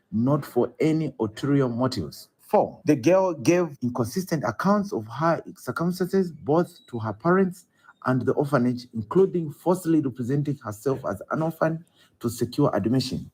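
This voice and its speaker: Husky Zambian man reading an official statement. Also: mid-range voice, darkish tone, rough recording. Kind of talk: formal read statement.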